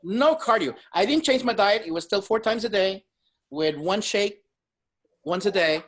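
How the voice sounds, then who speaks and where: Animated fifty-something man hosting. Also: high voice, moderate pace. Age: 50s. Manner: animated, emphatic, laughs often. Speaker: host. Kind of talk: casual panel discussion.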